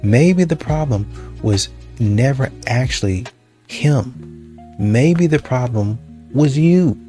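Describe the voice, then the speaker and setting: A man giving casual, opinionated commentary in a halting way, often repeating himself. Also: medium pitch, lively intonation, mellow, soft background music. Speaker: reaction show host.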